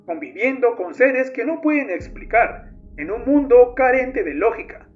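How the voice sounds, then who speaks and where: A man's voice: extremely high pitch, very animated, measured pace. A man reading scripted narration as a narrator. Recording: dull lo-fi audio with music. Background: music.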